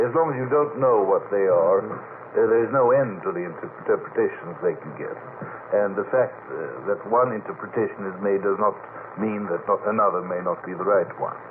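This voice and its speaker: Man on a noisy, tinny old radio broadcast. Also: lowish voice. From Sweden. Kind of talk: anecdotal radio panel talk.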